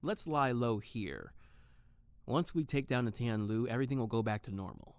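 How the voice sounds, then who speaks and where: An actor playing an earnest private detective, narrating and talking in a dry, deliberate noir style for audio drama. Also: male voice, mid-range voice, animated, clear, resonant tone, no echo, natural voice. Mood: dry, serious.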